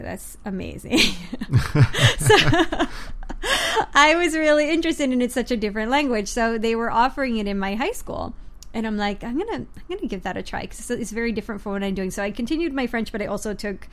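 Woman, casual conversational podcaster, filler-heavy, mid pitch. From United States (Miami). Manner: chatty, many fillers and restarts. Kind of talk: casual chat.

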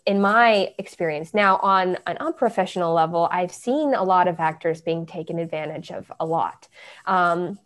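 A young woman speaking at an ordinary mid pitch, chatting loosely as an interview guest. She pads her answers with fillers and restarts now and then. Her tone is relaxed and conversational. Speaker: guest, Broadway performer.

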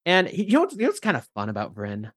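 A man chatting casually as a podcast guest, animated and thinking aloud as he goes. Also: high-pitched, fast talker, husky, no echo, studio quality. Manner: animated, thinks aloud about character voices. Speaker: podcast guest, tabletop role-player. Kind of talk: casual chat.

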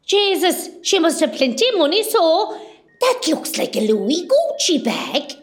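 Chatty, dramatic West Cork woman patient played by an actress, very high, swooping delivery, muddles her words, radio comedy. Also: sing-song, a little slow, exaggerated voice. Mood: gossipy, exclaiming. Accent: West Cork Irish. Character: nervy, cheeky, gossipy Irish woman patient, hungry smoker. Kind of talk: amateur comedy radio play acting.

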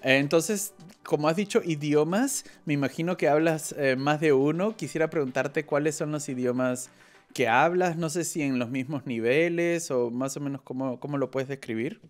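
A woman's voice: deep voice, moderate pace, soft background music. A woman hosting a podcast, asking hesitant questions with many restarts. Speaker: podcast host, interviewer. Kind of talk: podcast interview.

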